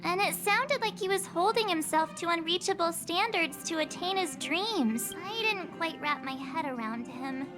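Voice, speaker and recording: A woman voicing a perky, naive teenage girl adventurer in a video game, chatty and expressive. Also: extremely high pitch, normal speed, hardly any pauses. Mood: reflective. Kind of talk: video game character dialogue. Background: game music.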